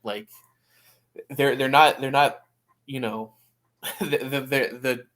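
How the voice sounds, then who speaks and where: A man discussing soccer casually as a podcast pundit, halting and often repeating words as he qualifies his points. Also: medium pitch, fairly even intonation, fairly bright tone.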